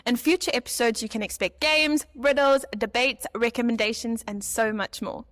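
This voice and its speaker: A woman's voice: fairly high pitch, steady tone, moderate pace, fairly bright tone, dry recording, clean studio sound. Upbeat young woman podcast co-host. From South Africa. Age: 20s. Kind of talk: scripted podcast chat.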